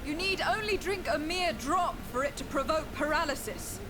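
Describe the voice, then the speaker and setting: An assured noblewoman with a high voice and formal, persuasive delivery. Clear ambient noise sits behind her. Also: animated, moderate pace. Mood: grave persuasion. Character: calm, persuasive young noblewoman addressing an angry mob. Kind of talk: video game dialogue.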